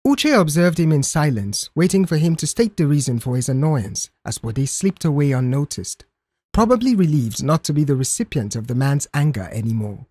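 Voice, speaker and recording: Animated male story narrator, fairly high pitch. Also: moderate pace, breathy edge, no echo.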